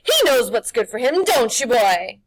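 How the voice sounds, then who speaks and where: Loud, menacing witch creature, high-pitched. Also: big pitch swings, normal speed, no room sound. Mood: menacing, mock-sweet. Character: slimy, menacing female witch creature, bullying then panicking.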